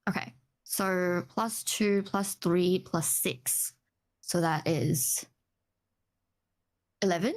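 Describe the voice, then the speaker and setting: A woman's voice: on the low side, very slow, long pauses. A woman chatting casually as a player in a tabletop role-playing game, hesitant and thinking aloud.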